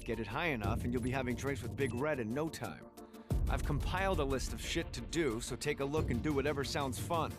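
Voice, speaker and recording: An actor playing a brash, sarcastic mentor who gives wry, casual instructions as a video game character. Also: male voice, medium pitch, fairly quick, music in the background, lightly acted. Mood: brisk, wry. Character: brash, sarcastic, foul-mouthed male mentor guide. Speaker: tutorial guide character.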